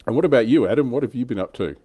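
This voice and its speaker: A man's voice: medium pitch, animated, very fast, warm tone. A man hosting a hobby livestream in a casual, chatty way, lightly teasing and prompting others. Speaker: host of a tabletop wargaming livestream.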